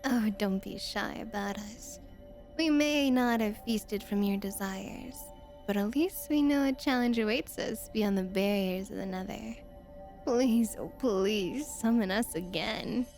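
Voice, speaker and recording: A woman's voice: medium pitch, a little slow, a little mellow, faint music behind, natural voice. A woman voicing a playful, doting, mischievous demon who coos and teases in a flirty ASMR roleplay. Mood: coy, flirty. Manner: cooing, teasing, mock-sweet delivery.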